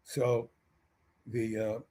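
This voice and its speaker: A man with a husky voice giving spoken commentary as an expert interview guest. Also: mid-range voice, monotone, extremely slow, dark tone, a little hiss or hum.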